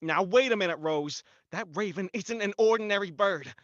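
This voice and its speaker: An actor playing a nervous, hesitant husband in a 1940s-style radio melodrama, anxious and pleading. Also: male voice, high voice, normal speed, dry recording, natural voice. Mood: uneasy, pleading. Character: nervous, hesitant henpecked husband reluctant to kill. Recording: slightly dull, lo-fi sound.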